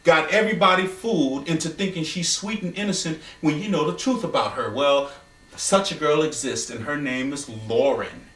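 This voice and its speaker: A man telling an improvised story, with dramatic, deliberate phrasing and pauses. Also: a little high, a bit bright. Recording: roomy echo, distant from microphone.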